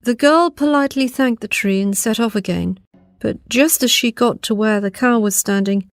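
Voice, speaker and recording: A woman's voice: fairly high pitch, normal speed, no room sound. A woman narrating a scripted fairy tale as a storyteller.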